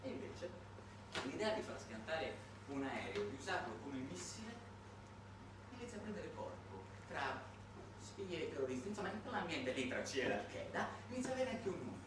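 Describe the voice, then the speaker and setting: Animated male stage monologist, very echoey recording. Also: on the high side, moderate pace, distant mic, noisy background. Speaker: solo stage actor and narrator. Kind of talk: theatrical satirical monologue.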